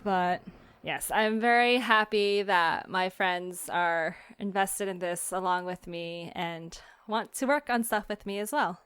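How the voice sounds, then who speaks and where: A woman's voice: medium pitch, a little slow, a bit bright, smooth, no room sound. A woman hosting a podcast in a casual, chatty style, with a giggly manner. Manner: giggly, laughs often. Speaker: fiction podcast creator and host. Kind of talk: casual chat.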